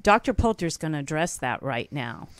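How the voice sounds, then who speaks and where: Woman host, lowish voice, dry sound. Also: sing-song, moderate pace, no echo. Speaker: radio show host. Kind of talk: conversational call-in hosting. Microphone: close studio microphone.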